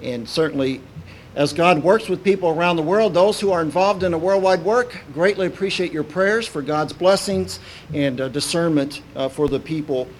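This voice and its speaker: A man in his forties, raised in Kentucky, opening a sermon as a visiting minister in a halting, informal way full of "uh" and restarts. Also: fairly high pitch, poor audio. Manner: halting, many "uh" fillers and restarts.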